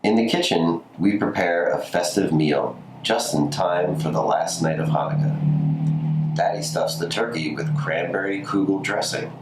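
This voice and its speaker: A man's voice: low voice, measured pace. A man reading a children's holiday picture book aloud as a scripted narration. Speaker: community story reader. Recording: roomy echo, faint background noise.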